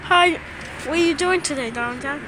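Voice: high voice, a little fast, background noise. Someone chatting casually and playfully to camera, often repeating phrases, recorded on a phone inside a car. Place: inside a car, back seat.

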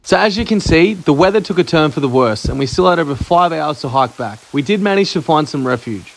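A man's voice: a little high, animated, brisk, creaky. A man narrating his travel vlog in a wry, upbeat voice-over. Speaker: travel vlogger. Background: music.

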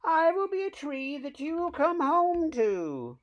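A man voicing a gentle, determined mother bunny in an exaggerated, swooping storybook delivery. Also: extremely high pitch, huge pitch swings, takes it slowly, lo-fi sound, pitched above the performer's own voice, exaggerated voice. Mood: loving, emphatic.

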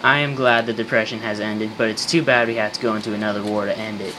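A man playing a boastful, bitter, soap-obsessed failed tycoon with deadpan delivery and old-fashioned slang in an amateur radio skit. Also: on the low side, fast, noisy background, lightly acted.

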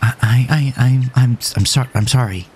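A man's voice: medium pitch, normal speed, a little mellow, a little hiss or hum, voice pushed up, close to an everyday voice. A man voicing a frightened, grief-stricken girl in an audio horror story, desperate and fierce, with a cracking delivery. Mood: panicked, apologetic. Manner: stammering, cracking, pleading delivery.

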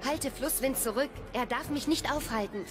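Woman as a solemn, high-voiced heroine. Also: normal speed, close to an everyday voice. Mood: solemn, resolute. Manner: solemn, steadfast. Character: resolute, self-sacrificing priestly heroine. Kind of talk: German fantasy radio drama acting. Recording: music under the voice.

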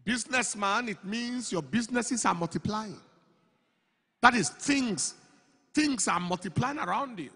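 Emphatic high-voiced pastor preaching. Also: male voice, expressive. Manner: builds from slow, measured delivery to loud, emphatic preaching. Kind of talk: sermon. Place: large church auditorium stage with a crowd. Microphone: handheld microphone. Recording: long hall reverb, slightly breathy.

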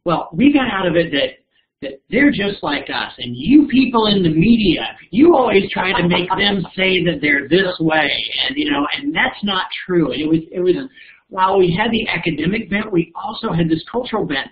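A man speaking as an educator on a panel, telling school anecdotes with lively rises and hesitant fillers. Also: high-pitched, normal speed. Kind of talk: storytelling panel talk. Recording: dull lo-fi call audio.

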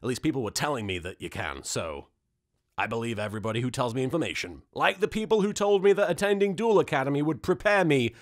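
A man's voice: mid-range voice, big pitch swings, fast. A man in his thirties giving a playful, sarcastic comedy recap to camera in a theatrical manner. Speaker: host of an episode recap and reaction series.

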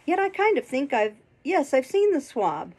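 Lady reader doing a hearty blustery old sailor pub landlord, crafty, raspy, pushed up high, storybook style. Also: lively intonation, fairly quick. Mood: excited, blustering. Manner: hearty, emphatic sailor talk. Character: tall one-legged seafaring landlord, jovial, blustering, cunning older man.

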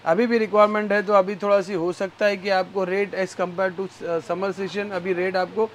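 A man's voice: flat delivery, normal speed. A man in his thirties from India, walking through a cluttered electronics warehouse on a lapel mic, eagerly reeling off prices and calling the viewer brother. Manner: pitches prices eagerly, calls everyone bhai. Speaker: warehouse seller showing stock. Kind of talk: energetic sales walkthrough.

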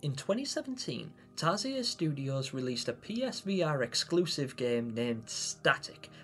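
A young man hosting a video, giving theatrical, wordy commentary to viewers about game theories. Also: a little high. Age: young adult. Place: small home room, indoors. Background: background music.

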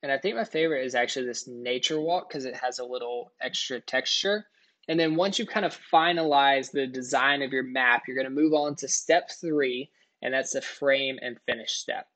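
A man's voice: mid-range voice, moderate pace, fairly bright tone. Casual man walking through a tutorial. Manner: casual, step-by-step explaining. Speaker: product tutorial presenter. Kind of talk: screen-recording tutorial voice-over.

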